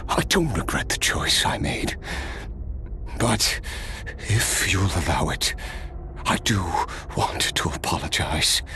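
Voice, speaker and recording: A man voicing a weary immortal warrior in a video game, speaking in a measured, wry and reflective manner. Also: low voice, pushed and bright, slight background noise, heavily acted. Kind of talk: scripted game voice acting.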